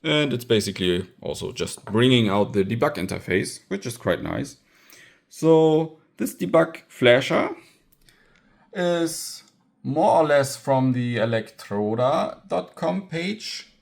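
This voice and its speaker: A man's voice: mid-range voice, animated, slow, creaky. A man giving a casual hands-on tech tutorial, thinking aloud as he demonstrates. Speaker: electronics hacker presenting own project.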